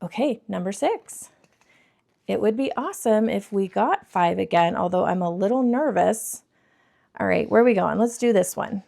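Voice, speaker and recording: A woman in her thirties with a croaky, scratchy throat, chatting to viewers as she counts cash for savings challenges. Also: mid-range voice, expressive, normal speed, warm tone. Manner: bubbly, addresses viewers as friends, counts money aloud. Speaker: budgeting vlogger.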